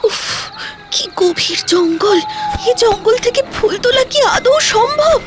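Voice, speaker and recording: A woman's voice: very high voice, lively intonation, unhurried, background noise. A woman playing a poor young widowed mother in a cartoon, fearful but determined, speaking in a pleading, tearful manner. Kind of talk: dramatic cartoon voice acting.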